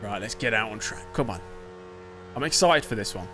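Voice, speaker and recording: A man's voice: lowish voice, lively intonation, fairly quick, music in the background. Chatty young British man streaming a game. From Britain. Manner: chatty, self-mocking, answers chat aloud. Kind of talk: casual live-stream commentary.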